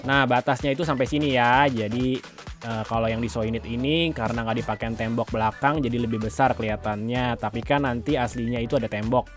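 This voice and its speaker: A man hosts a property tour in an informal, chatty way, speaking straight to the viewer. His voice sits at an average male pitch. Music plays behind his narration. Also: steady tone, a little fast. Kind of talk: casual walkthrough narration of a house tour. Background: background music.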